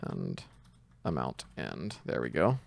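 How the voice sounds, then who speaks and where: Low, gravelly man thinking aloud. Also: big pitch swings, takes it slowly. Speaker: tutorial presenter. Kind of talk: casual screen-recorded coding tutorial.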